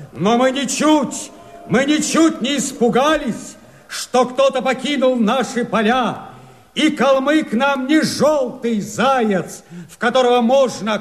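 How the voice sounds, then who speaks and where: An actor playing a fiery, defiant rebel Cossack, declaiming verse in a strained, ringing, oratorical voice for a radio drama. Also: male voice, extremely high pitch. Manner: impassioned, oratorical, drawn-out. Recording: crowd clamour and music behind voice. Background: shouting crowd, music.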